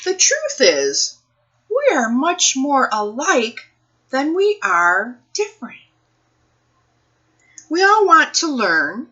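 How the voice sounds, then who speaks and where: Female storytime librarian. Highly expressive, slow-paced delivery aimed at young children, with questions to listeners. Slightly muffled recording. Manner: addresses young children with questions, deliberate phrasing. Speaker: children's librarian reading a picture book aloud. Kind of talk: children's storytime read-aloud with asides to listeners.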